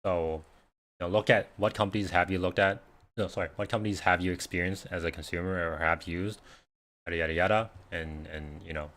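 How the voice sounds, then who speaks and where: Low-voiced man chatting on a livestream. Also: fairly even intonation, brisk, a bit bright. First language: Cantonese. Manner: rambling, conversational, many fillers and restarts. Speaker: investing livestream host. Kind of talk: casual live Q&A chat.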